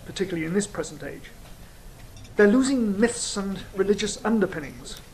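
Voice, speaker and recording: Audio drama casting: performer as sly fallen angel, philosophical and cynical, measured theatrical delivery, medium pitch, slightly noisy ambience. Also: natural voice.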